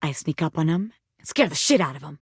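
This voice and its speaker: A woman voicing a cocky, bossy small-time gangster boss, a living pin in a video game, who talks tough but has a soft spot. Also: sits low, lively intonation, fast, slightly rough, no room sound, clean studio sound. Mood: scheming, cocky. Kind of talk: video game character voice acting.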